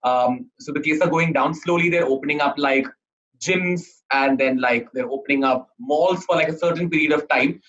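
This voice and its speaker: A man from India hosts a relaxed interview over a video call. His voice sits fairly high for a man, and he talks eagerly with a stream of affirmations and follow-ups. The audio is dull and lo-fi. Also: normal speed. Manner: eager, chatty, repeats affirmations. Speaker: interview host.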